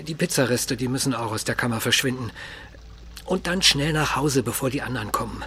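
An actor in a German radio drama playing a domineering, scheming construction boss, insistent, persuasive and commanding. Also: male voice, medium pitch, brisk, pushed and bright, lightly acted. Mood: controlling.

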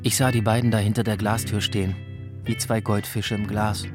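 An actor playing a wistful cop, narrating a radio drama in a reflective noir way. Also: male voice, lowish voice, little pitch movement, a little fast, lightly acted. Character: male cop in love with a gang of women robbers, wistful. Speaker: narrator and character. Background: music, plucked guitar.

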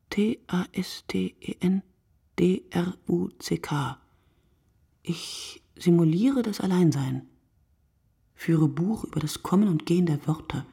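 A sleepless, lonely woman writer broods in a radio play monologue. Her voice is low, mellow and husky, and each lyrical phrase is set down slowly and with care. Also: dry recording, studio quality, exaggerated voice. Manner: measured, contemplative, lyrical delivery.

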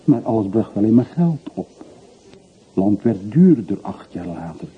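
A low-voiced, middle-aged man of means in a radio drama, looking back with regret. He confesses in short, brooding sentences. The old recording is narrow as a telephone line, with faint hiss. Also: expressive, measured pace. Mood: wry, resigned. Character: wistful middle-aged man of forty-three, wealthy returned emigrant. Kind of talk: radio play acting. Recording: muffled phone-like old radio recording, hissy.

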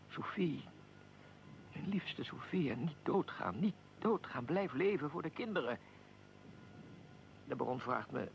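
A weary imperial archduke with a heavily creaking, gravelly voice. He speaks in formal, measured sentences with dignified restraint, as in a radio play, over a faint hiss and rumble. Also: rough recording. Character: Austrian archduke, aristocratic, weary, recounting his own assassination. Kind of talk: radio drama acting.

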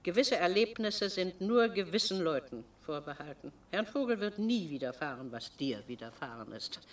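A woman's voice: on the low side, expressive, normal speed, far from the mic, natural voice. A woman playing a domineering, bitter elderly retired schoolteacher in a radio drama, speaking in a pedantic, imperious way. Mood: bitter, lofty. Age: older. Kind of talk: German radio drama acting.

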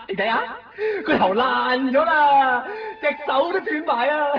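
Theatrical, high-pitched teasing young man, echoey. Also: slow pace, creaky, exaggerated voice. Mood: manic, taunting. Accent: Hong Kong Cantonese. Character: young man, old sweetheart, turning manic and taunting in a nightmare. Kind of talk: 1990s Cantonese radio drama acting. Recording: echoey, distant, dull radio recording.

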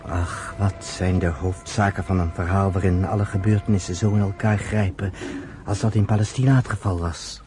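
An actor in a radio play voicing an earnest, patient storyteller, with measured, rhetorical phrasing. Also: male voice, deep voice, fairly even intonation, natural voice. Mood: solemn, impassioned. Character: earnest, patient male storyteller explaining his people's history. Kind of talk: radio drama acting. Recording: slightly noisy old radio recording.

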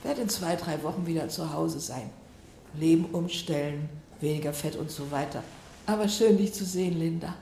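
A woman's voice: deep voice, moderate pace, gravelly, dark tone, echoey room, slight background noise. An actress playing a mother who keeps pressing her daughter with questions. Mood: probing. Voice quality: questioning, insistent. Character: persistent, probing mother. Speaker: mother recovering from heart surgery. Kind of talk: radio play acting.

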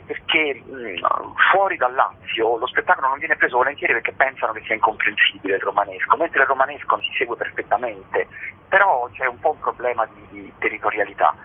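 Male actor guest, husky, phone-quality audio, lively storyteller. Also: mid-range voice. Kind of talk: storytelling interview on a phone line. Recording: tinny phone line.

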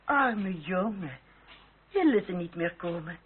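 A woman's voice: fairly low pitch, expressive, takes it slowly. An actress playing an anxious, motherly French aristocratic lady, middle-aged, in an emotional and genteel radio drama style. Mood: motherly, pleading. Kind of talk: period radio drama acting. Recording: tinny, noisy old radio play recording.

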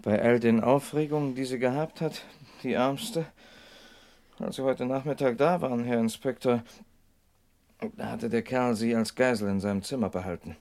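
A man's voice: mid-range voice, normal speed, darkish tone, close to an everyday voice. An actor playing an evasive man justifying himself to a police inspector in a German radio crime drama. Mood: agitated.

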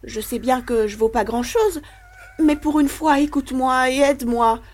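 A woman's voice: high-pitched, moderate pace, darkish tone. A woman playing an ashamed, frightened young child in an audio drama, confessional and self-deprecating. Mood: fearful pleading. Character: ashamed, frightened young village boy who wets the bed. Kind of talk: dramatic monologue in an audio play.

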